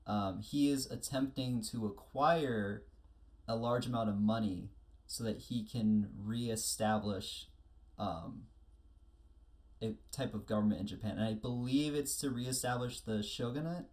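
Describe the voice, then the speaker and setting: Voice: mid-range voice, unhurried, a little mellow. A podcast co-host in casual chat, explaining things in a careful, tentative way.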